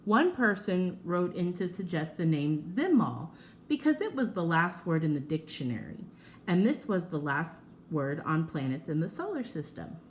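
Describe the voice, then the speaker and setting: A woman reading a children's nonfiction book aloud like a teacher, in an expressive, measured storytelling manner with drawn-out emphasis. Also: fairly low pitch, slight background noise.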